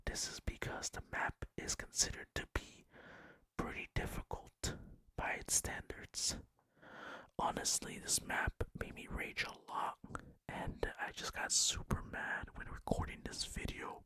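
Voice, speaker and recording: A man whispering breathily and deliberately into a close microphone as he hosts an ASMR gaming commentary. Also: bright, forceful tone. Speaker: gaming video host.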